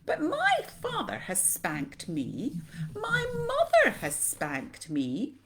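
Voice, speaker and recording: A woman voicing a polite, naive young elephant child in an animated storybook reading, earnest and childlike. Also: fairly high pitch, takes it slowly, very noisy, voice pushed up, exaggerated voice. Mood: earnest, plaintive.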